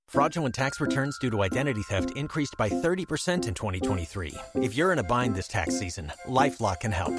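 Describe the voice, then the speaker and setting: A man doing a scripted commercial voice-over. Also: medium pitch. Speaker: advertising voice-over. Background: loud music.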